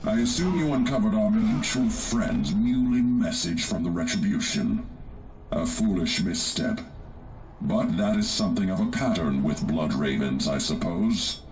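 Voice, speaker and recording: Corrupted space marine, deep, slow, sardonic, weary, video game villain. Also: male voice, darkish tone, rough recording. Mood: mocking. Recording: music under the voice.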